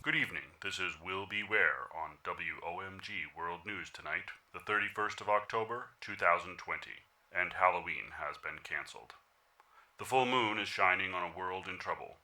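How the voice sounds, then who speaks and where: Radio play role, male actor: formal news anchor, low and piercingly bright, portentous delivery. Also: normal speed, exaggerated voice. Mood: grave. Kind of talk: radio news bulletin acting.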